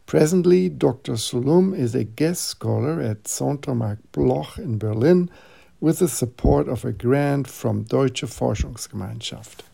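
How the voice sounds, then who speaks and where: A man's voice: mid-range voice, a little slow, creaky, warm tone, husky. A man hosting a podcast, speaking in a formal, polite and courteous interview style. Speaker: podcast host.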